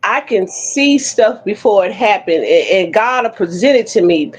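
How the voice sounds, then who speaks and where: A woman in her fifties chatting frankly on an online panel, telling her own stories with lively, blunt humor. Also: mid-range voice, normal speed, husky. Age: 50s. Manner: frank, animated storytelling with Southern-inflected slang. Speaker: panel co-host. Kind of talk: casual chat. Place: Oklahoma City.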